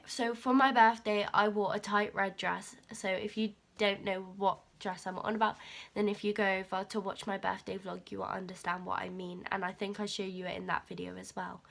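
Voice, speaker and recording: A teenage girl chatting to her camera at home, rambling and filling gaps with ums and likes. Her voice is a little croaky and sniffly from a cold, picked up by the camera's mic in a room. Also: medium pitch, fairly bright tone. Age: teens. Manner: rambling, chatty, lots of fillers. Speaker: vlogger. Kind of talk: casual chat to camera.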